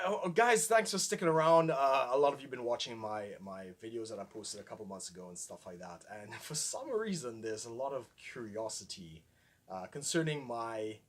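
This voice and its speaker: A man in his forties from Trinidad and Tobago with a light Trinidadian accent, talking casually to camera in a clear, projected speaking voice with frequent ums and little laughs. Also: mid-range voice, fairly bright tone. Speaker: vlogger.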